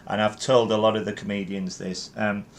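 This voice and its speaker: A man's voice: lowish voice, level tone, brisk, slight background noise. A man in his fifties hosting a podcast interview, encouraging the guest and stammering with repeated words as he asks questions. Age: 50s. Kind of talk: casual interview chat.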